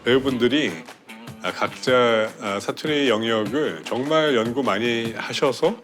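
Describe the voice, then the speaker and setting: Mid-pitched male director, soft music behind. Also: measured pace. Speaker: director guest. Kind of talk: casual explanatory interview answers.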